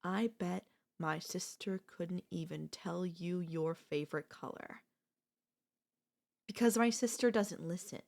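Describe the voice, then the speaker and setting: A woman playing an obsessive, possessive sister-in-law in an intimate ASMR audio roleplay, coolly confessing her love with deliberate pauses. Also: fairly low pitch, vocal fry, a little mellow. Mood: bitter. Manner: slow, deliberate, with long pauses.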